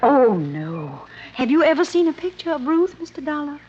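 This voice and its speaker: A woman's voice: high voice, sing-song, moderate pace, a little mellow. An American actress playing a polite, chatty, wistful middle-aged wife and mother in 1950s radio drama style. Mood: eager, proud. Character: genteel, fluttery, wistful older wife and grieving mother. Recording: muffled, noisy old radio recording.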